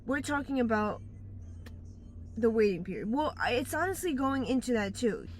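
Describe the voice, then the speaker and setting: A woman's voice: fairly high pitch, animated, normal speed, slight background noise. Blunt rambling woman giving life advice. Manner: blunt, rambling, searches for words. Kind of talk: casual advice monologue. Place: outdoors in bright daylight.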